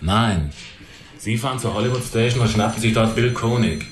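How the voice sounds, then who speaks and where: An actor playing a police superior who gives brusque, authoritative orders. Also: male voice, mid-range voice, normal speed, lightly acted. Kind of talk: radio drama dialogue. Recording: echoey room with music behind. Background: music.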